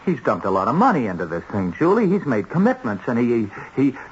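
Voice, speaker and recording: An anxious American husband and small-time crook pleads and stammers defensively, his pitch jumping about. It is old radio drama acting on a muffled, slightly noisy recording. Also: huge pitch swings, quick pace.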